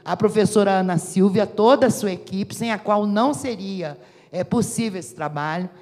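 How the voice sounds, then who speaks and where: A woman's voice: mid-range voice, moderate pace, a little mellow. A middle-aged woman with a Brazilian Portuguese accent giving a formal opening speech at a panel table on a handheld mic, hesitant with many fillers and restarts. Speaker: academic association representative. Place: large auditorium, seated at a panel table. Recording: long hall reverb.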